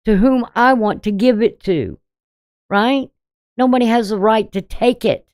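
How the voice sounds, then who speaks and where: A woman with a deep voice giving a casual daily astrology reading, talking bluntly and conversationally with frequent "you know". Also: measured pace, darkish tone, dry recording, studio quality. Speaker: astrology channel host.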